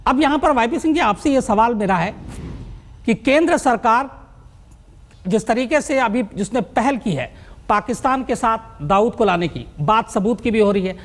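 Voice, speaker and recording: Emphatic TV news anchor building up suspense. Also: medium pitch. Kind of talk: live TV news presentation.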